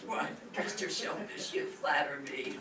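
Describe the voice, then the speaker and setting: A woman's voice: low voice, unhurried, pushed and bright. A woman playing a haughty, commanding elderly matriarch in a melodramatic radio soap opera parody. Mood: gracious, wary. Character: imperious elderly matriarch of a wealthy family. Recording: echoey stage, far mic, clear noise.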